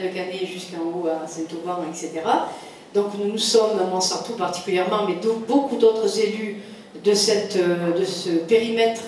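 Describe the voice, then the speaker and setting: A woman's voice: mid-range voice, a little mellow. A French woman, a local elected official, giving an emphatic, insistent campaign speech with repetition and rhetorical questions. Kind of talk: political campaign speech to a meeting. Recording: very echoey room, distant mic, faint noise.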